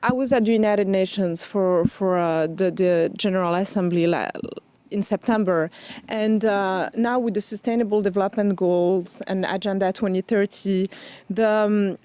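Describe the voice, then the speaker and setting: Woman from Morocco, halting interview guest. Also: mid-range voice, fairly even intonation, mellow. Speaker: interview guest, foundation founder. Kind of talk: conversational interview.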